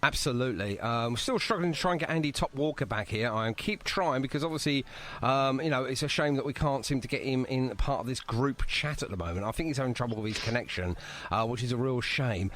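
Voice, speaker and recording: A man's voice: medium pitch, fairly quick, a bit bright. A British man hosting a casual, chatty band interview with a jokey, bantering manner. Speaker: radio podcast host.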